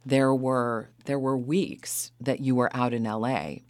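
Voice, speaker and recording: A woman's voice: a bass voice, steady tone, slow pace, a little mellow, no echo. Female radio host, dry close studio sound. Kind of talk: public radio interview with scripted introduction.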